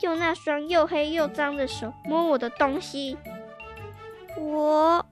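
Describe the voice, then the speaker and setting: A woman's voice: sits high, steady tone, slow pace, faint music behind. A schoolgirl acting a whiny, indignant young sister in a children's radio drama. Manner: whiny, protesting.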